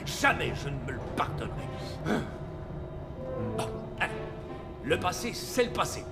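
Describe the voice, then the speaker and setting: An actor playing an old Santa Claus in a French cartoon, muttering theatrically to himself and grumbling with shame. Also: male voice, on the high side, very animated, unhurried, vocal fry, heavily acted. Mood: grumbling, ashamed. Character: old Santa Claus, ashamed and grumbling about his past. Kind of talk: French animated series dub. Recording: loud music under the voice.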